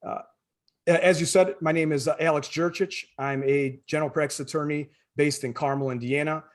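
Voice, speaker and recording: An American man from the Chicago area talking as an interview guest in a conversational, halting way that repeats words. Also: fairly high pitch, steady tone. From Chicago area, born in former Yugoslavia. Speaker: podcast guest, attorney.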